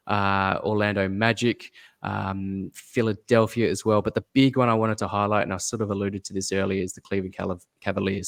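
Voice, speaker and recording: Low-voiced man analyzing fantasy basketball. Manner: measured, repeats advice, thinks aloud with fillers. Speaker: fantasy basketball podcast co-host. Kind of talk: casual podcast analysis.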